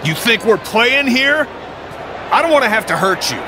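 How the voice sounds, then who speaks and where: An actor playing a confrontational, tough security guard in a video game. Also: male voice, extremely high pitch, big pitch swings, normal speed, over music, rough recording. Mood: threatening. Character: tough male security guard, warning intruder off.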